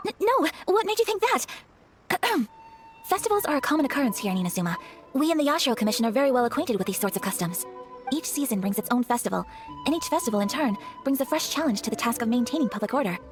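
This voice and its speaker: A young noblewoman in an anime game, gentle, formal and earnest. Her voice is on the high side and her polite phrases move quickly, with music clearly behind her. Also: very animated. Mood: composed. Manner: formal, polite, carefully articulated. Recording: background music under the voice.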